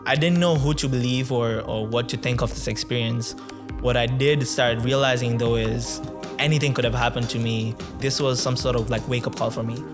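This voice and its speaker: A man in his twenties from Tanzania, a podcast guest telling his story in a reflective, conversational way, often pausing with um and uh. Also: medium pitch, fairly even intonation, music in the background. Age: 20s. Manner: reflective, with many um and uh pauses.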